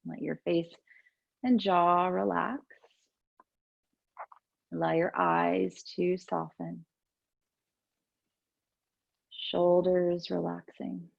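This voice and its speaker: A woman yoga teacher leading gentle breath work and movement in a soothing, unhurried way, with pauses between instructions. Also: on the low side, flat delivery, gravelly, highs cut off.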